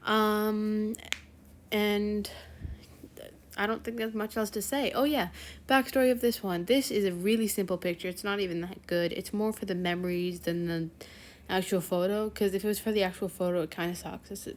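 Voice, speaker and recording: A woman's voice: medium pitch, steady tone, normal speed. A teenage girl hosting her own channel, chatting casually to viewers in a rambling way with lots of fillers and self-corrections. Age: teens. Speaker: fanfiction channel host.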